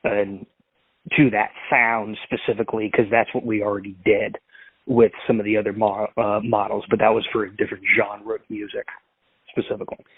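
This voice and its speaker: A man's voice: lowish voice, flat delivery, brisk, breathy edge, dry recording. Male prank caller; rambling, restart-heavy delivery; phone-line audio. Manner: rambling, full of fillers and restarts. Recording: tinny dry phone line.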